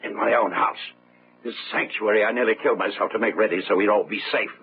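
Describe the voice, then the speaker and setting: An actor playing a ruthless, domineering multimillionaire in a radio drama. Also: male voice, on the low side, steady tone, fast, lightly acted. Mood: enraged. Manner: domineering, barking orders. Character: ruthless, furious multimillionaire master of a barricaded retreat. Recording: dull, noisy old radio broadcast.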